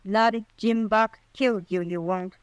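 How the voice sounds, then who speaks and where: A woman's voice: mid-range voice, fairly even intonation, normal speed, clean tone, dry recording, close to an everyday voice. An actress playing an anxious, loyal frontier woman in an old-time radio western, earnest and pleading.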